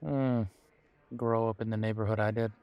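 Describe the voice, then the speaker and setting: A man playing a weary, wry werewolf boyfriend in an intimate audio roleplay, talking casually with hesitant, trailing pauses. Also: on the low side, steady tone, clean tone, no room sound, dull audio, lightly acted. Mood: weary, bitter. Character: weary, wry male werewolf boyfriend estranged from his family. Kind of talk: intimate roleplay audio drama, conversational monologue.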